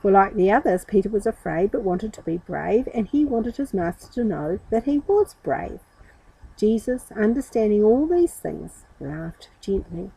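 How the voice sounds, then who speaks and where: A woman's voice: mid-range voice, very animated, a little slow, gravelly, mellow, slightly rough, rough recording. A woman reading a Bible story aloud to children, dramatically and addressing them directly.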